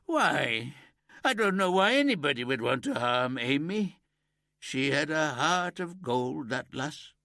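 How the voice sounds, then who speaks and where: A man voicing a grieving old ex-miner from Yorkshire who keeps birds, in a broad homely Yorkshire accent, pitched above his own narrating voice. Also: very animated, unhurried, creaky, no echo, studio quality, heavily acted. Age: older. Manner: broad and homely, higher and warmer than the narrator.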